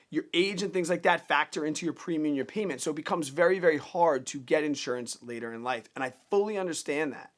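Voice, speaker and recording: Man, 30s, slightly high voice, earnest, plainspoken, casual finance vlog. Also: a bit bright. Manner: earnest, plainspoken, repeats words when restarting. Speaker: personal finance vlogger. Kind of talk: casual direct-to-camera advice.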